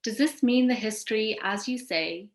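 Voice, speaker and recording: A woman's voice: on the high side, moderate pace, gravelly. A woman hosting an educational show, reading from a script and putting questions to panelists. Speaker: host of a patient advocacy show. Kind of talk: scripted presentation with question prompts. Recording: echoey room sound.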